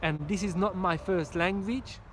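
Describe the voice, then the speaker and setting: A Hungarian-accented man delivers a motivational pep talk, his voice sitting a bit higher than most men's. He hammers the same phrases over and over with emphatic, preacher-like drive. He is outdoors, with faint city traffic noise behind him. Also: steady tone, normal speed. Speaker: motivational speaker.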